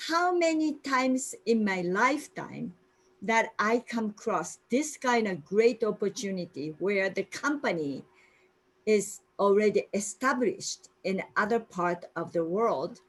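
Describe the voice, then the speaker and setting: A woman's voice: on the high side, fairly bright tone, rough recording. A woman in her sixties with a Japanese accent, earnestly telling her personal story on a video call. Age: 60s. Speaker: guest speaker sharing her story.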